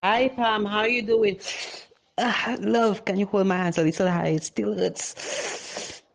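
Guy acting hurt boyfriend in an audio drama, affectionate but weary, slow creaky voice. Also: sits high, steady tone, background noise, exaggerated voice. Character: affectionate injured young man, weary but reassuring.

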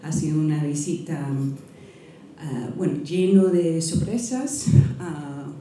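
A middle-aged woman, not a native speaker, reads an academic lecture from a podium, pausing and restarting often at an unhurried pace. Her voice sits low and mellow. The sound rings through a roomy hall, picked up from some distance with a faint murmur behind. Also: slight background noise. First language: not Spanish. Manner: careful, halting, with frequent restarts and fillers. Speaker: guest lecturer. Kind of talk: academic lecture, partly read aloud. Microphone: podium microphone. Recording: echoey hall, mic from a distance.

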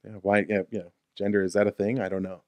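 An easygoing young man with a very deep voice talks as a guest on a comedy podcast. His delivery is dry and relaxed, picked up close on a studio mic. The small room sounds dead and dry. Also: fast, dry recording. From Arizona. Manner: laid-back, dry, easygoing. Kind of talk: casual comedic chat. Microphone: studio mic on boom arm.

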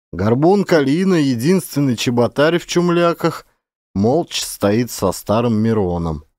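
Dramatic guy narrating an audiobook, very expressive pitch, dry recording. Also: no echo. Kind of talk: dramatic scripted literary narration.

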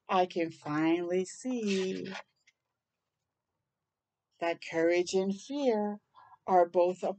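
Older female storytime reader, soft mellow tone, unhurried pace, deliberate dramatic delivery for young children. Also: mid-range voice. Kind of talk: read-aloud of a rhyming picture book with brief asides. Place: outdoors on a porch.

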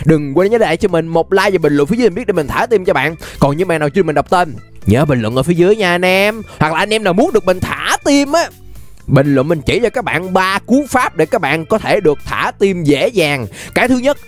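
Young guy with a high voice, chatty gaming host talking to fans, bit of music under it. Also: big pitch swings, brisk. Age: young adult. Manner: playful, chatty, addresses viewers directly. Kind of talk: animated gameplay commentary to fans. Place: indoor gaming setup. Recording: music behind the voice.

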